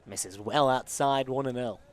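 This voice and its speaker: A man's voice: medium pitch, a little slow. A man calling live baseball play-by-play as a sports commentator.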